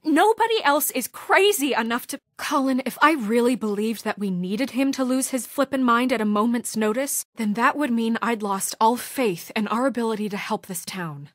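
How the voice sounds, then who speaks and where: A woman's voice: a little high, animated, normal speed, hardly any pauses, no room sound, clean studio sound, close to an everyday voice. A woman playing a principled young deputy mayor in a story video game, speaking with earnest, firm exasperation in naturalistic dramatic dialogue. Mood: frustrated, reproachful.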